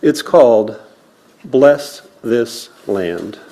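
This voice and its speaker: Man, medium pitch, unhurried pace, solemn recitation, measured phrasing, worship service reader. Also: expressive, mellow. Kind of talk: land acknowledgement and poetry recitation to a congregation.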